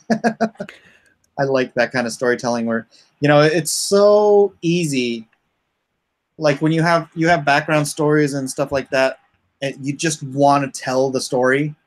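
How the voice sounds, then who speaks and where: A man's voice: on the high side, takes it slowly, pauses often. A man chatting casually as a livestream host, rambling informally with joking asides. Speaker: comic artist hosting a crowdfunding livestream.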